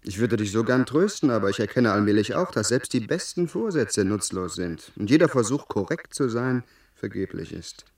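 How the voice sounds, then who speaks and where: Earnest fatalistic gentleman, lowish pitch, measured, formal diction, reflective, radio drama. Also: sing-song. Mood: resigned, consoling. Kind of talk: German radio play acting.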